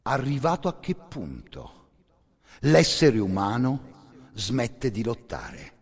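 Male monologist for radio theatre: husky, intense storyteller, very wide swings in pitch, long hall reverb. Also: huge pitch swings, takes it slowly. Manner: intense, theatrical storyteller, swelling from hushed to forceful.

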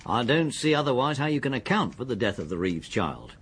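An actor with an English accent playing a methodical, deferential police detective in a radio drama, his voice husky. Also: medium pitch, sing-song. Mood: confident, deferential. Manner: deliberate, factual, deferential. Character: methodical, deferential male police detective, confident and matter-of-fact. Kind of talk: British radio drama acting.

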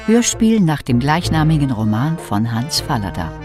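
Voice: mid-range voice, very animated, moderate pace, over music. An announcer reading formal scripted credits for a radio drama. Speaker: announcer of title and cast.

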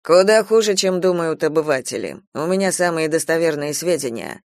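A man voicing a gloomy, alarmist gentleman adviser in an audiobook, speaking emphatically and persuasively. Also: on the high side, lively intonation, brisk, dry recording, clean studio sound. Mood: ominous warning.

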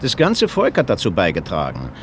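An actor playing an eager, proud official city guide in a radio play. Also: male voice, low voice, huge pitch swings, brisk, heavily acted. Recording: clear street and vehicle noise. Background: traffic ambience.

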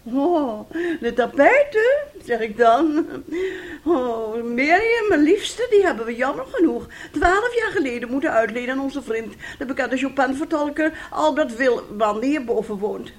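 An actress in a radio drama playing a bitter, middle-aged wife who nags with reproach and theatrical sarcasm. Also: female voice, high-pitched, sing-song, unhurried. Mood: mocking. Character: bitter, nagging, mocking wife of a failed composer.

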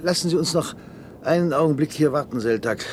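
A man's voice: fairly quick, darkish tone, lightly acted. An actor in a science-fiction radio drama playing an uneasy, wistful older man, hesitant and musing, with vehicle noise behind him. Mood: wistful, awed. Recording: noisy radio play with vehicle rumble.

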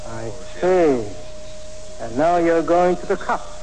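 An actor playing a sly, theatrical crook in 1940s radio drama style. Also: male voice, fairly high pitch, animated, slow, a little mellow, slight background noise, rough recording. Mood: ominous, resigned. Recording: humming old radio recording.

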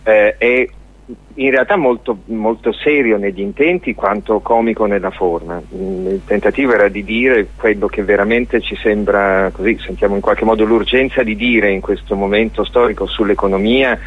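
A man's voice: on the low side, normal speed, husky. A man being interviewed on radio as a guest artist, explaining a show discursively and searching for words. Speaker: guest, theatre and dance artist. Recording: narrow tinny phone-like line.

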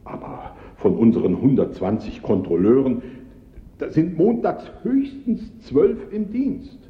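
An actor playing a formal, measured, worried museum official in a German radio play. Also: male voice, medium pitch, wildly swooping pitch. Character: formal, worried male museum director in Paris. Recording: dull, echoey hall, old radio recording.

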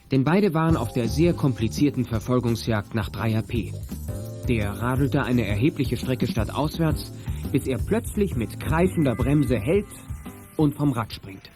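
A man reading scripted narration for an audio drama. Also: mid-range voice.

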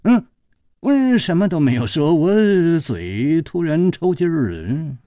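A jovial, quick-witted swordsman of a storybook tale, voiced by a man, laughing and sighing through his banter in a raised, lively voice. The sound is close and dry, with no room around it. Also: on the high side, no room sound, pitched above the performer's own voice. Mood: wry sighing. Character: gallant witty male swordsman hero, teasing.

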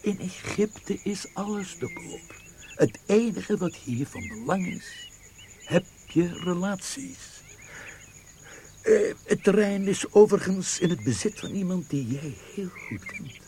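A man's voice: high-pitched, lively intonation, unhurried, warm tone, a little hiss or hum. An actor playing a genial, knowing, well-connected military man in a Dutch radio play.

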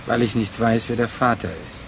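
A man acts out a brooding former lover in a literary radio drama. He speaks in halting, reflective phrases at an ordinary male pitch, as if to a woman he remembers. Clear ambient noise sits behind the voice. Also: close to an everyday voice.

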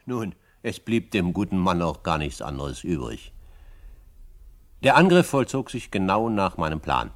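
An actor playing a self-assured frontiersman who narrates his own adventures in a radio drama, in a measured storytelling manner. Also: low voice, very animated, heavily acted. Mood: assured, suspenseful. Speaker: first-person narrator.

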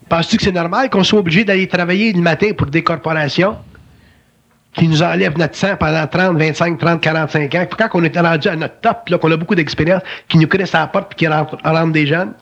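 A man with a Québécois French accent explains spiritual ideas in an emphatic, colloquial way. His voice is gravelly and husky and sits fairly high. The recording sounds dull and lo-fi. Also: a bit bright. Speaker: spiritual speaker. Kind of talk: philosophical conversation.

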